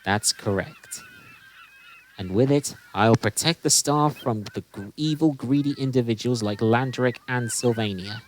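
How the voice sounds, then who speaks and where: A performer playing a calm, resolute guardian of magic in an audio drama, earnest and measured. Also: medium pitch, lightly acted, poor audio. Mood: earnest farewell.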